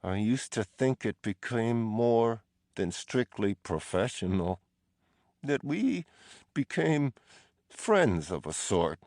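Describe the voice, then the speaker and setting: A man's voice: lowish voice, very animated, a little mellow, no echo, studio quality, voice pushed up, full character voice. A man doing a battered, bitter male prisoner. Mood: bitter, reproachful. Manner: slow, drawn-out accusations rising to anguished outbursts.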